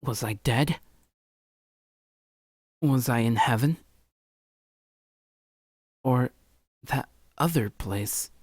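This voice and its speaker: A man's voice: drawn-out words, pauses often, a little mellow, no room sound, clean studio sound. Theatrical man reading a visual novel aloud. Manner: theatrical, often stumbles over the text. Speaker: let's play host. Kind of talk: dramatic read-aloud narration of visual novel. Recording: music behind, low-quality home recording.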